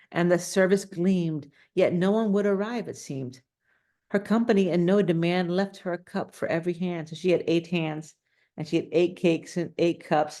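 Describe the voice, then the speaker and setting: A woman's voice: on the low side, warm tone. A woman reading a children's storybook aloud, adding casual, simple explanations and questions for young listeners. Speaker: storybook reader.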